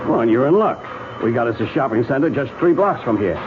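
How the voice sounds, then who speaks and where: An actor playing a chatty, obliging small-town service station mechanic in an old-time radio drama, folksy and eager in manner. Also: male voice, medium pitch, animated, brisk, lightly acted. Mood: helpful. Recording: phone-like vintage radio recording, faint noise.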